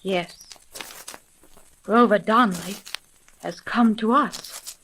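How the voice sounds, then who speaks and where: An actress playing a brisk, commanding young female private detective in a crisp, authoritative 1930s radio drama style. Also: mid-range voice, background noise, close to an everyday voice. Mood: grave. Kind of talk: 1930s old-time radio drama acting.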